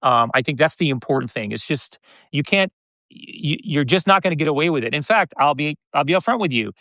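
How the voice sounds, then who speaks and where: An American man in his fifties talking casually as an interview guest, often halting and restarting his phrases. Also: on the high side, animated, sharp tone, no echo. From United States (Detroit). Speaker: podcast guest, content creator.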